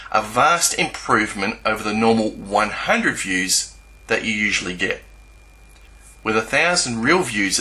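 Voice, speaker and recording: A man giving a scripted explanatory narration in a measured, didactic way, spelling out each step. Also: lowish voice, pushed and bright, poor audio. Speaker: YouTube channel narrator.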